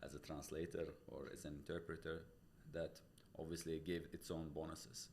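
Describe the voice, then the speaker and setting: Very deep-voiced man, earnest, faint hiss. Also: little pitch movement, normal speed, a little mellow. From Tajikistan. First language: Pamir dialect. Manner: earnest, explains step by step with many restarts. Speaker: real estate broker, guest. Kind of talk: casual interview talk. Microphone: studio podcast mic.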